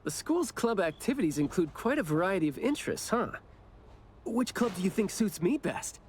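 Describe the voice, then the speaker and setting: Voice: mid-range voice, big pitch swings, moderate pace, a little mellow, slight background noise, close to an everyday voice. A performer voicing a laid-back, chatty young investigator in an anime game, with a casual, teasing manner. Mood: playful.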